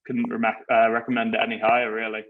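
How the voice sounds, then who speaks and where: A man's voice: mid-range voice, completely flat delivery, fast talker, narrow, tinny audio. A man giving a plain-spoken testimonial for a service he recommends.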